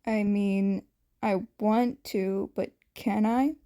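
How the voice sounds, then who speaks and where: Earnest unsure schoolboy read by a woman, gentle mellow tone, storybook dialogue. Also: medium pitch, fairly even intonation, takes it slowly, natural voice. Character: hesitant, earnest schoolboy longing to help.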